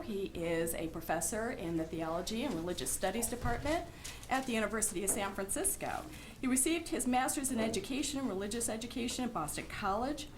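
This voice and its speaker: A woman acting as moderator at a live public event, making plain announcements and introducing speakers. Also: medium pitch. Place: public event before an audience. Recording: noisy live hall with audience murmur.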